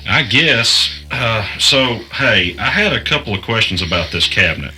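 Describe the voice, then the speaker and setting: A weary, low-key man in an audio drama. His voice sits fairly low and has a very bright edge, with lively swings in pitch. He speaks hesitantly and sparely, with music under him. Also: moderate pace, rough recording. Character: weary, low-key studio podcaster investigating an arcade cabinet. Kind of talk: naturalistic audio drama acting.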